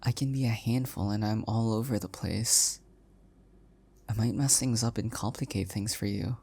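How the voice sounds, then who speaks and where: Soft-spoken, hesitant, oblivious young husband played by a man. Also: on the low side, normal speed, creaky, slightly rough. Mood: affectionate, modest. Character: adorkable, oblivious, self-deprecating young husband. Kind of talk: intimate audio roleplay, one-sided conversation.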